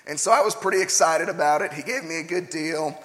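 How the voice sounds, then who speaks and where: Hoarse young man preaching in a hall. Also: a little high, a little fast, a bit bright. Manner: chatty, frequent "uh" fillers. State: hoarse, partly lost voice. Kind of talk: casual sermon with personal story.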